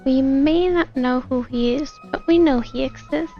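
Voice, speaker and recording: Woman game streamer, high voice, slow casual delivery, giggly, slightly dull sound. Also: soft background music. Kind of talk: gaming stream, reading along and reacting.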